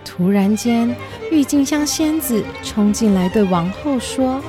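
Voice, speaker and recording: A woman's voice: a little high, lively intonation, a little slow, music in the background. A woman narrating a scripted children's story as the storyteller.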